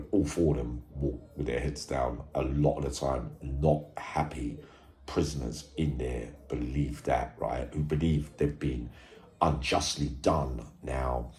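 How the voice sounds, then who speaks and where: A man giving informal commentary to viewers, halting and repetitive, stressing points and checking in with tags. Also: very deep voice, darkish tone. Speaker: true crime video host and commentator. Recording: echoey room sound.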